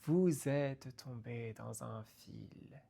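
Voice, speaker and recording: Woman poetry reader: very slow, low-pitched, halting and theatrical delivery. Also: vocal fry, warm tone, slightly rough. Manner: deliberate, halting, broken into short phrases.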